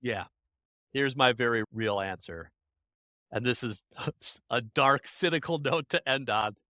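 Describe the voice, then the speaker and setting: An adult interview guest speaking in animated, emphatic bursts. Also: mid-range voice, measured pace, no echo, clean studio sound. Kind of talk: conversational interview.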